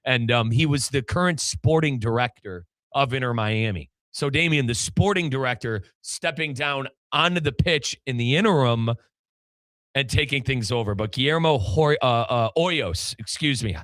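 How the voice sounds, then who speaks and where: A man's voice: medium pitch, moderate pace, a bit bright, dry recording. An American man hosting a sports show, talking soccer in a casual, chatty, slangy way. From United States. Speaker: sports podcast host and play-by-play commentator. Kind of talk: casual, animated soccer discussion.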